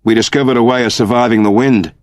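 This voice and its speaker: A man's voice: mid-range voice, steady tone, fast talker, no echo, natural voice. Rebel leader male narrator acting: grave, formal, commanding, intense, dry studio audiobook delivery. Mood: solemn. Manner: solemn, formal, declamatory.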